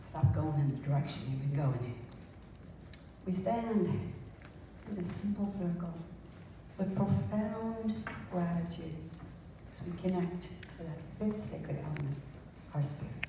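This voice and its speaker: A middle-aged woman minister gives an invocation in a very echoey church, heard from a distance. She speaks slowly, in emphatic phrases with pauses between them. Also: on the low side, dark tone. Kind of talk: spiritual invocation. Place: small church sanctuary. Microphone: handheld microphone, filmed from audience. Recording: echoey hall, distant mic, faint noise.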